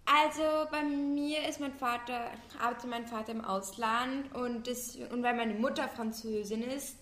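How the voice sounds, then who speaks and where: Bright-voiced schoolgirl with a recited, sing-song delivery. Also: fairly high pitch, fairly even intonation, measured pace. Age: young teen. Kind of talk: school radio report.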